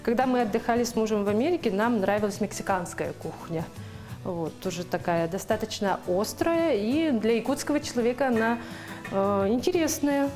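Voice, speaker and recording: A woman's voice: on the high side, expressive, normal speed, a little mellow. A young woman from Yakutia, a guest on a TV cooking show, chatting casually and a little hesitantly with many fillers. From Yakutia, Russia. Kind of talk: casual chat while cooking. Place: TV studio kitchen. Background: music.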